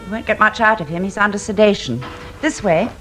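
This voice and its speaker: Lively, brisk nurse. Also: female voice, medium pitch, soft background music. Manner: brisk, matter-of-fact. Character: brisk, no-nonsense female hospital nurse. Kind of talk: radio crime drama acting. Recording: clear background noise and ambience.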